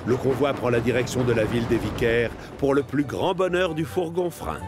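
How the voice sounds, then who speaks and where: A man's voice: mid-range voice, normal speed, close to an everyday voice. A man with a standard French accent narrating a children's cartoon in a scripted storytelling style. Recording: music behind the voice.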